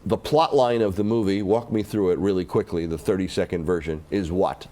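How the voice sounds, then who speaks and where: A man hosting a morning TV news segment in a studio, asking guests brisk, friendly questions. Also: low-pitched, warm tone. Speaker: TV news host. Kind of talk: morning TV interview.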